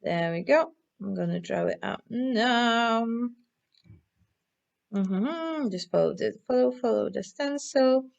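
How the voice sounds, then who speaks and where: Slow-paced woman entertaining young children. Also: on the high side, gravelly, dull audio. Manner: animated, encouraging, addressing young children with questions. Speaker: children's storyteller and craft presenter. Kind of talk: interactive children's storytelling and instruction.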